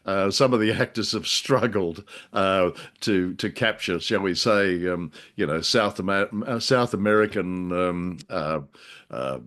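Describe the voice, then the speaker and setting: A man co-hosting a casual discussion, speaking in a wordy, halting and wry way. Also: low voice, fairly bright tone. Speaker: podcast co-host.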